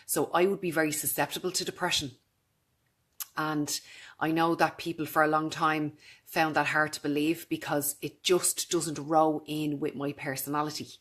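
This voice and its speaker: A woman in her thirties with a naturally low voice, talking earnestly and directly to her camera in a vlog. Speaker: vlogger. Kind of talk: casual talk to camera.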